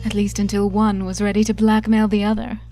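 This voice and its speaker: A woman acting a young female thief in a game, cynical and set on her goal. Her delivery is dry and resolute at a middling pitch, with music clearly playing behind. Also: little pitch movement, brisk, lightly acted. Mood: dry, determined. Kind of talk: game briefing narration and cutscene dialogue.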